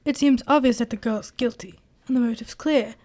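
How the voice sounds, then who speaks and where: A woman playing an amiable, chatty gentleman colleague of a detective in an amateur radio drama. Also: fairly high pitch, normal speed, natural voice. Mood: curious, engaged. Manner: chatty, eager, exclaiming.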